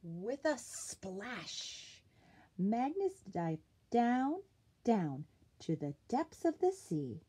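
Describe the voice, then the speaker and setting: A woman narrates a children's picture book at a leisurely pace. Her voice is soft-edged and mellow, a little rough in texture, and swings expressively up and down as she reads. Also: fairly high pitch, very animated, drawn-out words. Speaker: library storytime reader.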